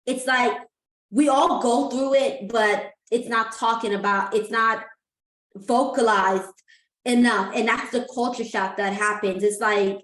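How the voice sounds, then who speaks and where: A woman's voice: fairly high pitch, steady tone, measured pace, fairly bright tone. A woman hosting an interview, chatty and enthusiastic as she asks her questions. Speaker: host. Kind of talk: casual interview. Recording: roomy echo.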